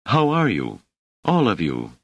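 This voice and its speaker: A man's voice: on the low side, huge pitch swings, unhurried, vocal fry, a bit bright, no room sound, lo-fi sound, pitched above the performer's own voice, heavily acted. Man voicing a merry motherly hostess, lilting and raised. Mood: gracious welcome. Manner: gracious, sing-song, politely chatty. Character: plump, merry, motherly middle-aged woman, genial hostess.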